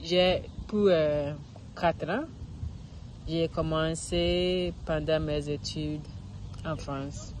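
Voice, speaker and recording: A woman's voice: deep voice, fairly even intonation, extremely slow, background noise. A woman, recording a casual practice monologue outdoors in a park, searching for words and restarting often. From Ghana. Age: 30s. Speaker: vlogger learning French.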